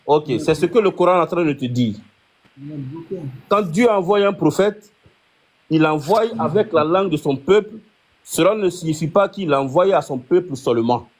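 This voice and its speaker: A man's voice: a little high, lively intonation, normal speed. A man leading a live religious debate, arguing his point with frequent restarts. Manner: argumentative, many restarts.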